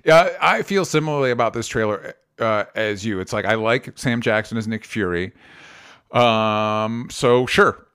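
A young man co-hosting a casual pop-culture podcast, talking in an animated, rambling way with lots of restarts. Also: lowish voice, measured pace, smooth. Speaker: podcast co-host.

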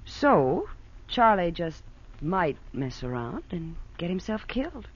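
A woman's voice: on the low side, very animated, takes it slowly, husky, heavily acted. An actress playing a scheming, jilted nightclub woman in a 1940s radio crime drama, insinuating while feigning innocence. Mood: coolly scheming. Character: scheming jilted nightclub woman named Mona, coolly plotting. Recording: muffled, hissy old radio broadcast.

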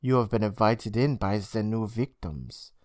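An adult reader voicing a sober, sinister vampire husband in a grave, deliberate manner for a story. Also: lively intonation, a little mellow, dry recording, clean studio sound, natural voice. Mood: grave, ominous. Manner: grave, low-key, deliberate.

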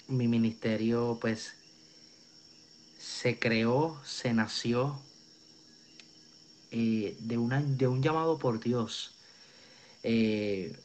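A man's voice: medium pitch, a little mellow. A young man in his twenties with a Puerto Rican accent, giving his testimony as an evangelist over a video call. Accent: Puerto Rican Spanish. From Puerto Rico. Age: 20s. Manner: earnest, preacherly, many fillers. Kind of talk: testimony in an interview. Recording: dull video call audio, faint noise.

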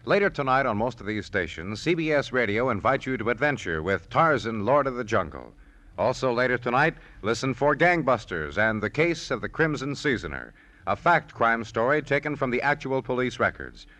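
A man's voice: medium pitch, big pitch swings, normal speed, sharp tone. A man announcing programs in polished, emphatic vintage radio style.

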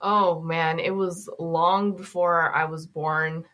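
A woman's voice: low-pitched, steady tone, slow, a bit bright. A woman originally from Kashmir who moved to the US as a child, chatting casually and jokily as a comedy guest. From born in Kashmir, moved to the US at seven. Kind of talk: casual comic interview chat.

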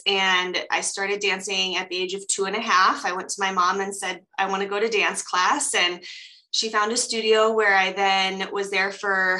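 A woman's voice: medium pitch, bright, forceful tone, low-quality recording. An American woman with an American accent, a podcast guest telling her personal story in an interview. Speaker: podcast guest, coach and dancer.

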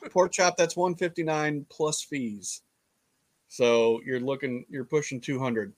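A man's voice: medium pitch, unhurried. A man co-hosting a podcast, talking in a matter-of-fact way. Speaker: podcast co-host.

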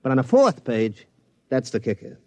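A man's voice: mid-range voice, big pitch swings, moderate pace, warm tone, dry recording, lightly acted. An actor playing a chatty, streetwise henchman of a gambling boss, rambling in slangy bad grammar in an old-time radio comedy. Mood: chatty, impressed.

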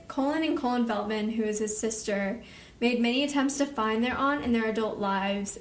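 A woman's voice: fairly high pitch, a little hiss or hum. A young woman co-hosting a podcast, chatting casually with lots of fillers and casual swearing. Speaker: podcast co-host. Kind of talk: casual chat. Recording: echoey room.

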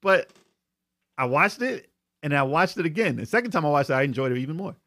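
A man's voice: fairly high pitch, dry recording, studio quality. A man co-hosting a video game podcast, chatting casually and repeating points for emphasis. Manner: talks fast, animated, repeats himself for emphasis. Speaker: podcast co-host. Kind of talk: casual chat.

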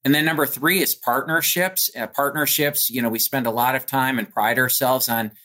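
A man's voice: mid-range voice, steady tone, normal speed, sharp tone. An American man speaking as a company executive in an interview, explaining things conversationally in polished corporate language peppered with "you know". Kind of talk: business interview answer, conversational.

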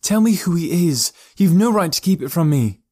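A defiant teenage girl, voiced by a male narrator, pitched high and quick to anger. She sounds wounded and demanding, in storybook dialogue recorded close and dry. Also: expressive, warm tone, dry recording. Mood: angry, betrayed. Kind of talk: audiobook character dialogue.

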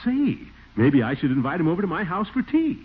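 An American actor playing a pompous, excitable middle-aged small-town bachelor in an old-time radio comedy, with a blustery, theatrical delivery and nervous chuckles. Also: male voice, on the high side, fairly quick, slightly rough. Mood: enthusiastic, scheming. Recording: thin, narrow-band vintage radio recording with noise.

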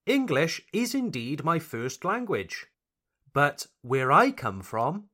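A man's voice: a little high, very animated, slow pace, bright, forceful tone. A man from Wales with a light Welsh accent, hosting a podcast for English learners and reading his script with careful, teacherly articulation.

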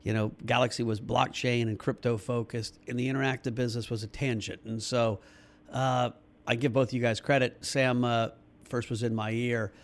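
A man hosting a relaxed interview show, upbeat and laughing easily with his guests. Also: medium pitch, moderate pace. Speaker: host. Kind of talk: casual interview.

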